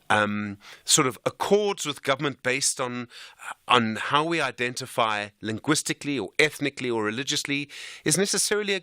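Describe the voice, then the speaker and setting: Male radio host in a dry studio, lively up and down voice, pushes back with questions. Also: mid-range voice, no room sound. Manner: probing, thinking aloud. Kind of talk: radio interview.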